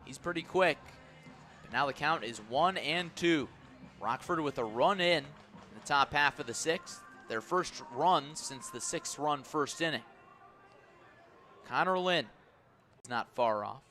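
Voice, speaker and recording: A man calling baseball play-by-play on the radio, measured between pitches and swelling into excited calls on plays. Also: soft background music. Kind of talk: live radio sports commentary.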